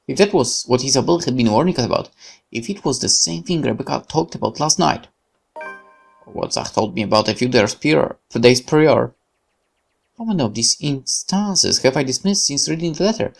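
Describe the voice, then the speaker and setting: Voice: medium pitch, lively intonation, normal speed. A game streamer reading visual novel narration aloud expressively, occasionally stumbling over words. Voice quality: creaky.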